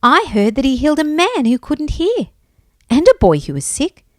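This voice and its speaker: A high, excitable blind beggar man from a children's Bible story, acted out by a woman. He calls out eagerly and insistently, full of hope, with big swings in pitch and a close, dry sound. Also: a little slow, no echo. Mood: excited wonder.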